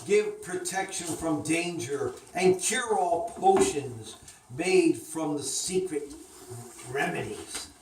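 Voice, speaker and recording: A woman with a fairly low voice reads a children's story at a slow pace. She sounds distant in an echoey room with faint noise. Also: lively intonation, a little mellow.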